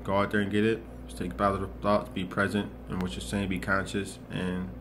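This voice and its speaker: A young man in his twenties gives an earnest motivational talk, circling back and repeating phrases as he thinks. His voice sits low and stays fairly flat. A clear layer of background noise runs under the recording. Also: fairly quick. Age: 20s. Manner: earnest, rambling, many restarts and repetitions. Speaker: motivational vlogger. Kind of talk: casual motivational monologue.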